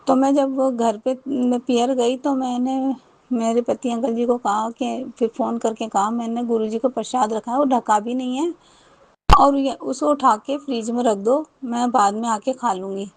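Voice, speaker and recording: A woman tells a personal devotional story in a fairly high voice. She rambles, restarts her sentences often and repeats her thanks again and again. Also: fairly even intonation, moderate pace, no echo. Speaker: devotee sharing a testimony. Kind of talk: personal testimony.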